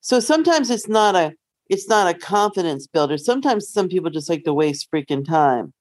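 Lower-pitched woman chatting on a podcast. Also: sing-song, fairly quick, a little mellow, no room sound, studio quality. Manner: direct, playful advice-giving. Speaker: podcast co-host. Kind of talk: casual bantering chat.

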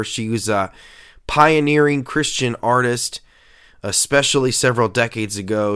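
A man's voice: mid-range voice, fairly bright tone, clean tone. A man who pastors and hosts a faith podcast, talking in an earnest, emphatic way with a nasal voice and frequent filler sounds. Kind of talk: podcast sermon talk.

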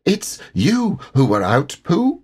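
A man voicing a small, anxious young piglet in a storybook, with a high, timid, squeaky voice. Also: huge pitch swings, slow pace, husky, exaggerated voice.

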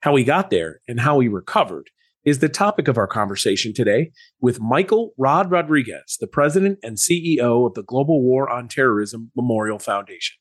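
A man hosting a podcast, reading a scripted intro and asking questions haltingly with fillers. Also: mid-range voice, lively intonation, no echo, studio quality. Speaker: podcast host.

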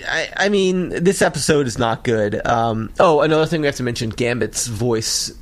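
An American man around his thirties or forties chatting casually as a podcast co-host, talking in a rambling, halting way. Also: mid-range voice, animated. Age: 30s or 40s. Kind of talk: casual chat about a cartoon episode.

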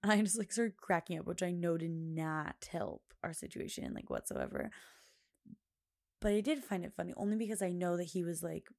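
A woman hosts her own podcast, talking loosely and at length in a loud voice that carries. Her tone sits low, with a gravelly fry, and it sounds dry and close. She rambles through fillers and laughs often. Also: animated, moderate pace, no room sound. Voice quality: loud, carrying voice. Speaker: podcast host. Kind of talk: casual chat.

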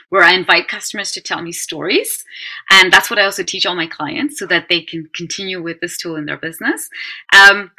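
Bright, animated woman. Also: lowish voice. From Netherlands. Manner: animated, emphatic, talks in quick run-on lists. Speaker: guest, brand strategy consultant. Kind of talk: podcast interview.

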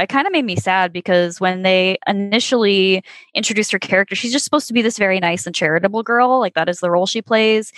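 A woman's voice: mid-range voice, fairly bright tone, no room sound. A woman in her twenties, a podcast guest, telling stories in a thoughtful, reflective way. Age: 20s. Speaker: podcast guest, former child actor. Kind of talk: casual podcast conversation.

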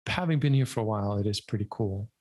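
A man's voice: on the low side, expressive, fast, darkish tone. A man hosting a casual podcast chat, speaking with an accent between American and German in a laid-back, wry, rambling way. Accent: mixed American and German. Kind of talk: casual conversation.

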